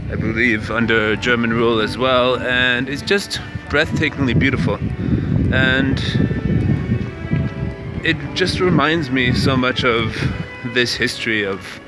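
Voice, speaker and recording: A man's voice: mid-range voice, measured pace, loud music behind the voice, low-quality recording. A young man from Canada hosting a casual walking vlog, musing aloud with many fillers and restarts. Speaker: vlog host. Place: outdoors on city streets. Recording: outdoor street noise.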